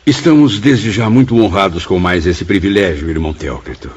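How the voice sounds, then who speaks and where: A man's voice: low-pitched, lively intonation, moderate pace, darkish tone, breathy edge, no echo. An actor playing a solemn, humble, repentant male spirit in a radio drama, with a Brazilian accent. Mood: grateful. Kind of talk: Portuguese-language radio drama acting and narration. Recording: muffled audio under prominent music.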